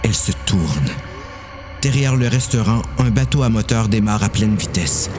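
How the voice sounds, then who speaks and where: A man's voice: fairly low pitch, expressive, moderate pace, music in the background. A man with a Quebec French accent narrating a dramatised horror story.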